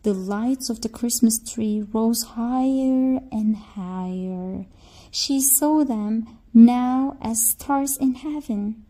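A woman's voice: a little high, warm tone. A woman reading a scripted fairy tale to children as a storyteller.

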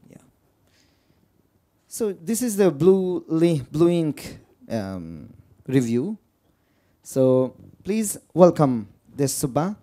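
A man's voice: fairly high pitch, very animated, very slow, long pauses, dark tone. A man with a Nepali accent and Nepali as his first language, moderating a book talk and introducing the speaker with many fillers and restarts. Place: lecture room.

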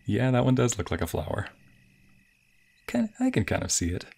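A man acting a sweet, bashful young waiter on a romantic date in an ASMR roleplay, with a soft, breathy, playful and hesitant delivery. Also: medium pitch, huge pitch swings, moderate pace, full character voice. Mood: warm, bashful. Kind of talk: ASMR roleplay, intimate scripted boyfriend monologue.

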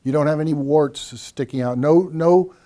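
An older man with a fairly high, soft-edged voice pitches a product. He talks off the cuff in plain, colloquial words, like a practiced salesman. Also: normal speed. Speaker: co-presenter of a home theater system. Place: padded, treated listening room indoors.

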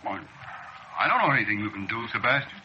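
A man's voice: medium pitch, sing-song, quick pace, sharp tone, husky, heavily acted. An actor playing a folksy, evasive, faintly ominous bartender in a 1940s radio drama, drawing out his words. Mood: folksy, teasing. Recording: dull, hissy old radio recording.

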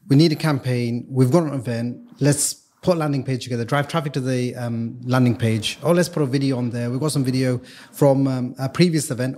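A man's voice: medium pitch, a little mellow. A man who works as a video strategist, explaining his work as a podcast guest in a casual way, often restarting and repeating words.

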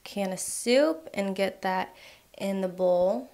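A young woman hosting a home cooking channel, walking through a recipe in a relaxed way with lots of "um" and "like". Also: medium pitch, takes it slowly, creaky. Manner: relaxed, filler-heavy instructions with frequent "um" and "like". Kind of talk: casual recipe voice-over.

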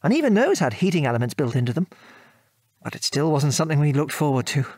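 A man's voice: fairly high pitch, big pitch swings, fast, darkish tone, dry recording, clean studio sound. An actor playing an affectionate British father and former wartime air force officer, patiently reminiscing in an audio drama. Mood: fond, amused. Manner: chuckling, patient, old-fashioned British turns of phrase.

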